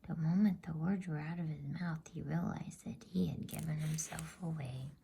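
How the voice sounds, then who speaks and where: A woman reading a bedtime story in a soft, breathy, soothing ASMR whisper, unhurried and gentle. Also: deep voice, fairly even intonation. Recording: faint background noise.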